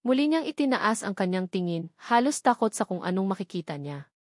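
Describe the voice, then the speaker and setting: A woman's voice: medium pitch, sing-song, brisk, a little mellow, no room sound, studio quality. A woman with a Filipino accent reads a dramatic romance story as scripted narration.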